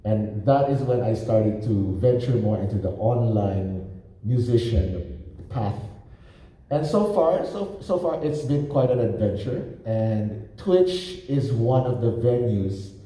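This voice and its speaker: A man, a violinist, moderating a panel and speaking haltingly, repeating words as he thinks. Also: medium pitch, lively intonation, mellow. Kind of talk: panel talk. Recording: very echoey hall.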